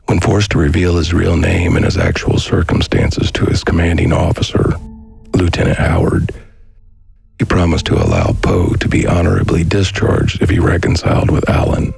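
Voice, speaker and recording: Very deep, gravelly male narrator. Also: flat delivery, moderate pace, darkish tone. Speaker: documentary narrator. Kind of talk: scripted documentary narration, reading letters and biography. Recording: faint music behind the voice. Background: faint background music.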